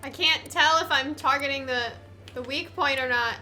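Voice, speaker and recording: A woman's voice: high-pitched, normal speed, pushed and bright. A woman giving casual, informal, mildly sweary commentary while she plays a video game. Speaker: let's player. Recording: game music behind voice. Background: video game music and battle audio.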